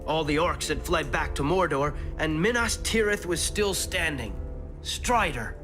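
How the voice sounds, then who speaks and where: A man's voice: on the high side, animated, unhurried. A man voicing a kindly hobbit storyteller who narrates adventures in a fantasy video game, in a dramatic storybook style. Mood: animated, kindly. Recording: loud music under the narration.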